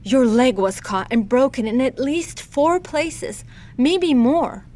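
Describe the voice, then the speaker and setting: An actress playing a gentle, shaken survivor in an audio drama, speaking softly, consoling and somber. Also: female voice, sits high, lively intonation, slow pace. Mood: shaken, urgent. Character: gentle, shaken woman survivor tending an injured friend.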